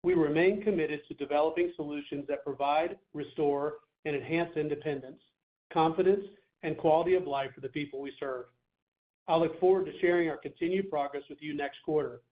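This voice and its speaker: A male chief executive with a fairly high voice reads scripted corporate remarks in a formal, measured way. He comes through a thin, phone-like conference line.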